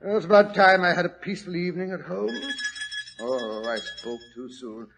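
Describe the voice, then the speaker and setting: An actor playing a polite, somewhat hesitant middle-aged doctor who narrates in a 1940s radio drama. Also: male voice, high voice, big pitch swings, moderate pace, creaky, fairly bright tone, exaggerated voice. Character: middle-aged male doctor, Holmes' friend, genial. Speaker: narrator and lead.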